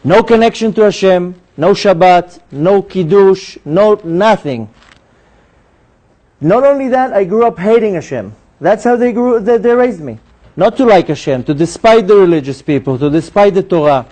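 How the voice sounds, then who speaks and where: A man speaks to a gathered audience, telling his story with animated turns into acted-out dialogue. His voice sits high for a man, yet it sounds mellow and soft-edged rather than bright. He talks like a practiced storyteller holding a room. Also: moderate pace. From Israel. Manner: animated storyteller who acts out dialogue in a higher voice. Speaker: speaker giving a talk. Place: synagogue hall.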